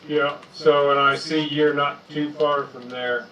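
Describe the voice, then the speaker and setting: A man with a loud voice chatting casually on a video call through a headset mic. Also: on the high side, level tone, measured pace, far from the mic, highs cut off, slight background noise. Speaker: caller on a video chat. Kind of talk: casual chat.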